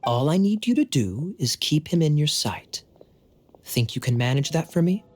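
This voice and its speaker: A man's voice: on the high side, very animated, moderate pace, a little mellow, a little hiss or hum. An actor playing a suave, sly young captain in a video game, self-assured and coy in his delivery. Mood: sly, confident. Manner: smooth, coy, insinuating. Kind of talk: video game character acting.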